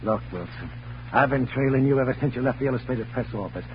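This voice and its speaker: A man's voice: medium pitch, fast, a little hiss or hum, lightly acted. An actor playing a desperate, menacing gangster gunman with slangy tough-guy talk in 1940s radio crime drama style. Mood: gloating menace. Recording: muffled, humming old radio recording.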